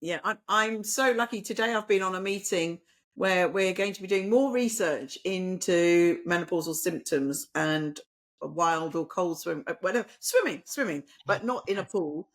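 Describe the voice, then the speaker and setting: Enthusiastic, halting woman podcast host. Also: lowish voice. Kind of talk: casual conversational interview.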